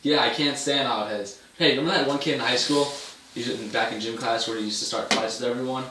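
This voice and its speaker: A man's voice: mid-range voice, steady tone, fast talker, close to an everyday voice. A young man playing a chummy, bragging old buddy with a hearty, casual delivery in an amateur stage play. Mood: mocking. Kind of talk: amateur one-act stage play dialogue. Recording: echoey room, distant mic, noticeable noise.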